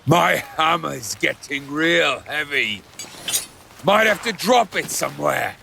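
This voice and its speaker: Man acting a gravelly, blunt warrior lord. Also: a little high, expressive, takes it slowly, sharp tone, full character voice. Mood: menacing. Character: king's rough brother, menacing, bluntly practical lord. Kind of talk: fantasy audio drama acting.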